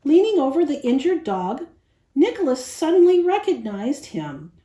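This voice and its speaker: A woman reading a children's story aloud as a storyteller, in an expressive narrating style. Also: high-pitched, normal speed. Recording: echoey, roomy sound.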